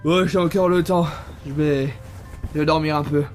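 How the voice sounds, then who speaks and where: A man's voice: on the high side, fairly even intonation, normal speed, faint music behind, close to an everyday voice. A young man playing a bewildered, easily flustered computer geek in an amateur audio drama. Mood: groggy, grumbling.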